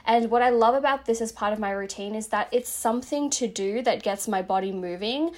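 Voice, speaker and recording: Chatty woman, slightly higher voice. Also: brisk. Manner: chatty, rambling, many filler "like"s. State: slightly losing her voice. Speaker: podcast host. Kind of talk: casual self-help talk.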